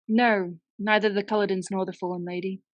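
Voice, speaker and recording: An actress playing a naive, chatty young parlour maid in a radio play, gossipy and a little aggrieved. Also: female voice, mid-range voice, fairly even intonation, brisk, dry recording, dull audio, lightly acted. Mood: eager, artless. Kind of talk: dramatic radio-play acting.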